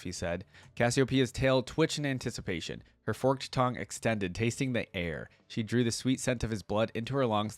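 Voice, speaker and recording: A man's voice: fairly low pitch, dry recording. A man hosting a reaction video, reading a story aloud expressively and adding casual asides of his own.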